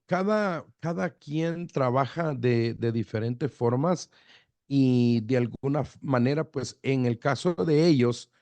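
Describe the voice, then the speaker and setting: A middle-aged man from El Salvador whose Spanish carries a mixed Salvadoran and Guatemalan border accent. His voice sits at an ordinary middle pitch and sounds dry and close. He talks in an easygoing way, with many pauses and repeated words. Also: dry recording. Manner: relaxed, hesitant with fillers and repeated words. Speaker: pastor, guest. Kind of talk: radio interview, conversational.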